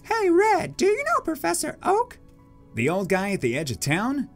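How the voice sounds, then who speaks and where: A man's voice: very high voice, huge pitch swings, normal speed, soft background music, voice pushed up, heavily acted. A man voicing a naive, chatty, curious little kid in a comic manga reading.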